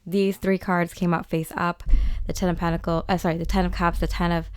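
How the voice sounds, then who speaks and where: Raspy-voiced woman giving a tarot forecast. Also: lowish voice, little pitch movement, fairly quick, a little mellow. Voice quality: rambling with many fillers and restarts. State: slightly raspy voice. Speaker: tarot reader and channeler. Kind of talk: casual spoken forecast and tarot reading.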